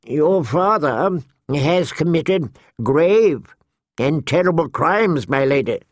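A man's voice: on the high side, animated, slow pace, no echo, studio quality, pitched above the performer's own voice. A man voicing a pompous elderly court scholar who intones solemnly in a fantasy audiobook. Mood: grave.

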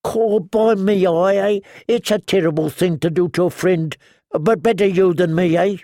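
A man's voice: sits high, normal speed, dark tone, no echo, voice pushed up. A man voicing a gloomy, dry-humored watchman with a mournful drawl. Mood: glum.

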